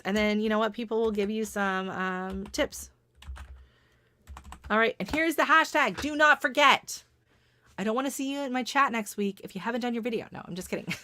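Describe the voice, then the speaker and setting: A middle-aged Canadian woman hosting a casual livestream chat, laughing often and greeting viewers by name, her voice starting to go hoarse. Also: mid-range voice, a bit bright, dry recording. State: voice going hoarse. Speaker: gardening channel host.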